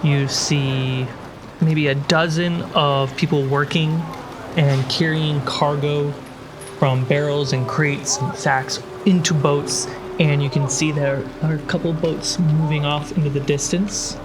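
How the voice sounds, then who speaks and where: A man narrating a tabletop role-playing game as game master, describing scenes in short phrases with pauses. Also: on the high side, fairly even intonation, noisy background. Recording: prominent music bed behind voice. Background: background music score.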